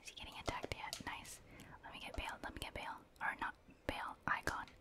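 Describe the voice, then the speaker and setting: A woman speaks entirely in a soft, breathy whisper. She is commenting on a video game in ASMR style, as a streamer would. Her delivery is chatty, made up of short murmured asides and reactions to the play. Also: fast talker. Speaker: gaming streamer and ASMR host.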